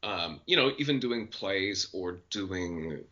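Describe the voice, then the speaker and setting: Deep-voiced young man, relaxed guest. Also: slow pace. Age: 20s. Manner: relaxed, self-deprecating, laughs easily. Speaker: voice actor guest. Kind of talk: casual podcast interview. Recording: dull lo-fi podcast audio.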